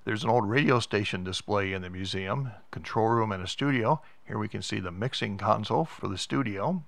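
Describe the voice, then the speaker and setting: Low, creaky American man narrating. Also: fairly quick, fairly bright tone, no room sound. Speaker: vlog host and tour narrator. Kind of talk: casual narrated museum tour.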